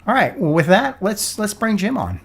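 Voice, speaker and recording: A man's voice: sits high, big pitch swings, normal speed, creaky, slightly rough. Froggy-voiced man hosting a casual podcast. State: froggy, getting over a cold. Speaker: podcast host. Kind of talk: casual sponsor interview podcast.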